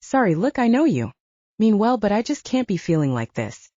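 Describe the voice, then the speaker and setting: Narrator doing stubborn young army medic woman, frustrated n sweary, audiobook, lively pitch, dry sound. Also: normal speed, no echo, muffled recording. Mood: frustrated, tearful.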